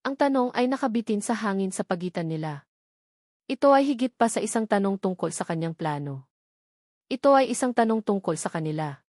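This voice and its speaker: A woman reading a scripted dramatic story in a measured, earnest way. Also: mid-range voice, expressive, a little mellow, no room sound, clean studio sound. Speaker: story narrator.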